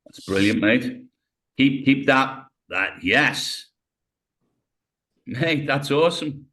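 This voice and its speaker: A British man in his fifties coaching a client through floor exercises on a video call, calm and encouraging, step by step. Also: mid-range voice, slow pace. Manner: encouraging, talks a client through each movement step by step, says mate. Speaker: rehab coach. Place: home room, on the floor. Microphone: video call webcam.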